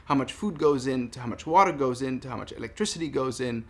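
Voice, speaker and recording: A man in his thirties from Palestine speaking in a personal monologue, earnest, deliberate and emphatic. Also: medium pitch, expressive, a bit bright. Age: 30s. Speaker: vlogger making a response video. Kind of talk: personal monologue addressed to camera.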